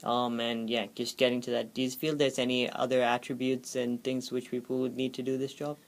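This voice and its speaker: A man's voice: medium pitch, little pitch movement, a little fast. A man interviewing a guest, asking short, plain questions with some hesitation. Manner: brief, plain questions with fillers.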